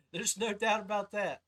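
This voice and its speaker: A man's voice: high voice, fairly bright tone. A man hosting a podcast in a casual interview chat, chiming in with agreement and approval. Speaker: podcast host.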